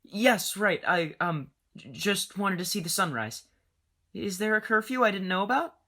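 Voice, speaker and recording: A woman voicing a timid young man who stammers and speaks quietly, in an amateur audio drama. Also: medium pitch, lively intonation, moderate pace. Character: shy sickly young man passenger.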